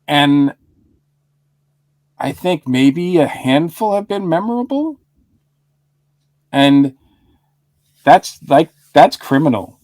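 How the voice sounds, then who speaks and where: A man's voice: fairly high pitch, animated, slow, long pauses, a little mellow, no room sound. Chatty man with a dry voice. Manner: rambling, with frequent restarts and chuckles. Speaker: podcast co-host. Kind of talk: casual review chat.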